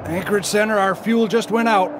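Man acting a high-voiced small-plane pilot. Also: fairly even intonation, a little fast, loud music behind the voice, lightly acted. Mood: tense. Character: businesslike small-plane pilot reporting trouble. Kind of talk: family audio drama acting.

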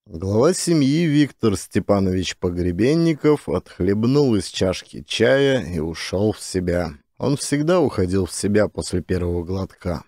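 Low, mellow male audiobook narrator. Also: big pitch swings, unhurried, no echo. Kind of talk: scripted dramatized storytelling.

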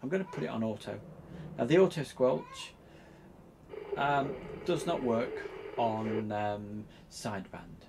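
Gravelly-voiced man in a noisy recording. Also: mid-range voice, takes it slowly. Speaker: presenter demonstrating radio equipment. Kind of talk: informal hands-on tutorial.

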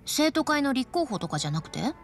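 An actress playing a brisk, confident young woman in a video game, casual and a little bossy. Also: high-pitched, very animated, soft background music. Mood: casual, curious. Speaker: game character actor. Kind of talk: Japanese video game voice acting.

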